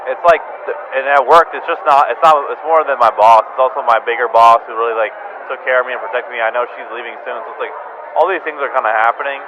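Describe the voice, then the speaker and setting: A low-energy, downcast man rambling through a confessional voicemail, halting and repeating himself, casual and prone to swearing. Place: bar, sitting alone. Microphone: phone voicemail recording. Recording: muffled sound, loud bar noise. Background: loud bar ambience.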